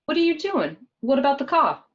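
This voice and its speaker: A woman's voice: high voice, sing-song, fairly quick, lo-fi sound. A woman playing a gangster's forthright young girlfriend in a read-through of a film script. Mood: questioning.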